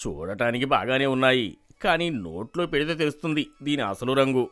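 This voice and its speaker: A man voicing a commanding village landlord with a grand, emphatic delivery in an animated moral story. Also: medium pitch, brisk, natural voice. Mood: suspicious.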